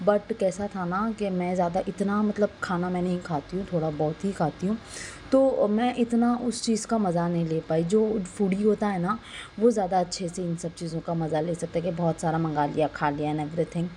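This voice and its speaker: A young adult woman with a sore, slightly hoarse throat, chattily narrating a casual family vlog voice-over and mixing in English words. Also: fairly low pitch, fairly even intonation, normal speed, dark tone, slight background noise. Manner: conversational, mixes in English words. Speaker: vlogger narrating a family outing.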